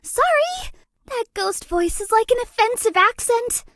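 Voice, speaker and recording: A very high, girlish voice as a cute young female ghost in a video game. She speaks slowly and hesitantly, with a bubbly, self-deprecating sweetness and an apologetic, awkward manner. The sound is close and dry. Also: sing-song, fairly bright tone, no echo, exaggerated voice. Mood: flustered, apologetic.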